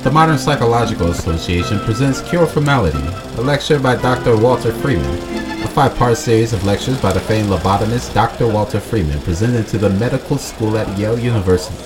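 Man as a brisk, deep, formal period announcer. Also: natural voice, rough recording. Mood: formal, presentational. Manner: formal, presentational. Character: brisk formal male announcer of a 1940s lecture series. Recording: loud music under the voice. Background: loud music.

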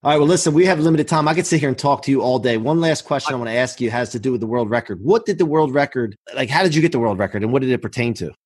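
A man hosting a podcast interview, quick and eager, laughing often as he talks. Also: medium pitch, slightly rough. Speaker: podcast host. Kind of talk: casual interview chat.

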